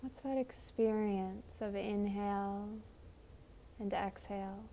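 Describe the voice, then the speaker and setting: A woman leading a guided meditation in a gentle, soothing, unhurried manner with long pauses. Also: mid-range voice, steady tone, slight background noise.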